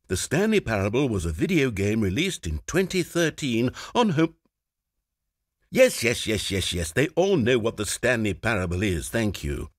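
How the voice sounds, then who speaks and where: A man's voice: mid-range voice, no echo. An actor voicing a sardonic, self-important male video game narrator, telling the story in a dry, sarcastic, mock-grand way. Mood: sarcastic impatient.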